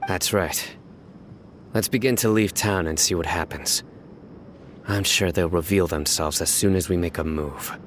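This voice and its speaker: A man voicing a stern, solemn investigator in a video game, speaking in a measured, grave and formal way. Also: low-pitched, slight background noise. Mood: calm, resolute. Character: stern, solemn young male investigator of few frills.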